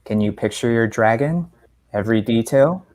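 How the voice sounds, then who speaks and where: A man playing a gentle, earnest father who is a magic professor in a story reading, speaking in a measured, reassuring way. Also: medium pitch, lively intonation, natural voice. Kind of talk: story reading, dialogue part.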